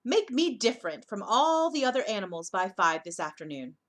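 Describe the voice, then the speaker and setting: A woman's voice: medium pitch, big pitch swings, a little fast, fairly bright tone. A woman voicing a proud, woolly male kangaroo who insistently demands to be made different, in a children's storybook reading. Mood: demanding.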